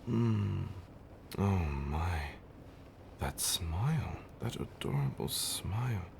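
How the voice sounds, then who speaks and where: A man's voice: sits low, expressive, slow, vocal fry, background noise, heavily acted. A man playing an affectionate, centuries-old vampire husband in an ASMR roleplay, telling stories in a theatrical, teasing, old-fashioned way with dramatic swells and whispers. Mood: tender, teasing.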